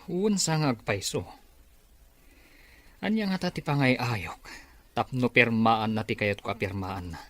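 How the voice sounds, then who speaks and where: A man acting as a greedy, plotting villain in an Ilocano radio drama. His Filipino-accented voice is medium in pitch and very animated, swinging up and down. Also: measured pace, long pauses, close to an everyday voice. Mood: scheming. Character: scheming greedy male villain after the business.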